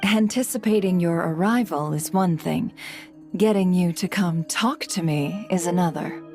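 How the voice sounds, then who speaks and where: An actress playing a poised, shrewd guild leader in a video game, speaking in a measured, courteous, composed way. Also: female voice, lowish voice, a little mellow, faint music behind. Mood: composed, knowing. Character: poised, shrewd woman running a secretive trade guild.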